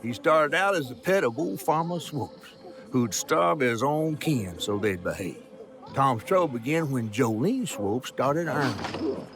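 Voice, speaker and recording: An actor with a Southern US accent playing a folksy, talkative river storyteller in a video game. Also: male voice, medium pitch, sing-song, a little slow, fairly bright tone, a little hiss or hum. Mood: folksy, ominous storytelling. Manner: drawling, colorful, folksy.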